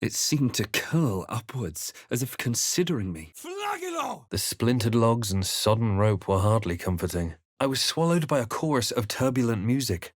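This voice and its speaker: A composed, wry male adventurer from a fantasy video game, heard close and dry. His voice sits at a middling male pitch. He speaks in measured, literary phrases with a theatrical weight. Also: wildly swooping pitch, no room sound. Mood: intense incantation. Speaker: video game player-character voice actor. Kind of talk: dramatic fantasy game voice lines and narration.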